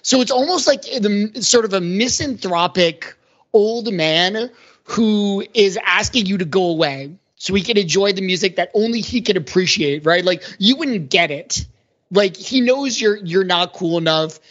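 A woman's voice: medium pitch, lively intonation, a little slow, muffled recording. A woman on a podcast panel, talking through ideas in casual analytical conversation.

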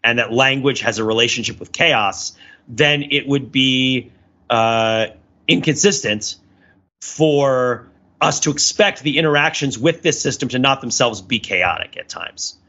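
A man's voice: medium pitch, measured pace, bright, forceful tone, lo-fi sound. A man co-hosting a casual analytical podcast, talking in a discursive way and thinking aloud as he qualifies his points. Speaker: podcast co-host.

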